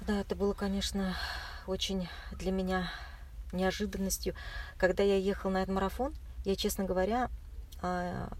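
A woman recounting her experiences as an interview guest in casual, halting speech, often searching for words. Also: mid-range voice, flat delivery, a little mellow. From Russia. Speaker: interview guest, marathon runner. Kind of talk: casual spoken recollection. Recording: clear background noise.

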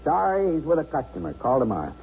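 Cocky, swooping-voiced bank robber. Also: male voice, on the high side, huge pitch swings, quick pace, creaky, a little mellow, heavily acted. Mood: sarcastic. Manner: sarcastic, slangy, bossy tough-guy talk. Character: cocky, volatile male bank robber holding hostages. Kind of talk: radio drama acting. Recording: dull, noisy 1970s radio broadcast.

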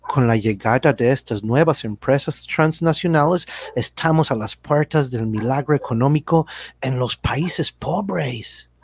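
A man's voice: mid-range voice, a little mellow, husky. A man narrating a radio drama from a script.

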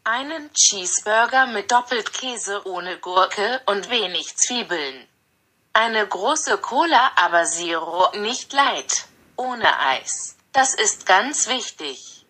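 A text-to-speech female voice reading out translated text in an even, synthetic way. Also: medium pitch, slow, husky. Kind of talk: flat machine readout of translated text.

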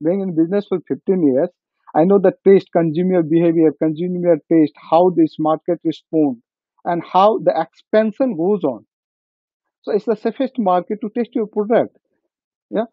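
Over a thin, phone-like connection with a dry sound, a high-voiced man with a Nepali accent talks business. His delivery is halting, and he repeats phrases to drive them home. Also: breathy edge, dry recording. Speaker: business guest. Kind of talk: informal business discussion. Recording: thin phone-like call audio.

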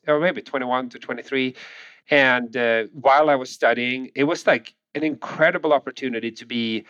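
A man's voice: medium pitch, no room sound. Swedish-accented man, muffled dry audio. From Sweden. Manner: relaxed, chuckling, restarts often. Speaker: guest. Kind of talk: conversational interview.

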